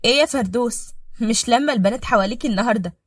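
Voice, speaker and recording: A woman putting on a scheming second wife with an Egyptian accent, needling and taunting in a lively, raised voice. Her tone is breathy and husky. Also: a little high, very fast, dry recording, studio quality, voice pushed up, close to an everyday voice. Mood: sly. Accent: Egyptian Arabic. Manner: sly, taunting.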